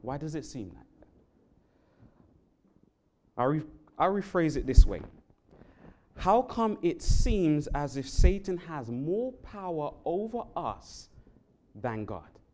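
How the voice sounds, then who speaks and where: A man from England with a London accent, preaching a sermon in a church. He speaks earnestly and climbs sharply in pitch and volume on key words. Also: sing-song, slow pace, pauses often, a little mellow, a little hiss or hum. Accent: London English. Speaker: Bible worker preaching. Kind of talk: sermon with prayer and scripture reading. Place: church sanctuary.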